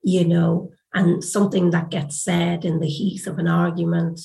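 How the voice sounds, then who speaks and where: A woman with an Irish accent speaks in a low voice with noticeable vocal fry. She sounds reflective and deliberate, like a researcher giving measured testimony for a documentary interview. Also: flat delivery, dark tone. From Ireland.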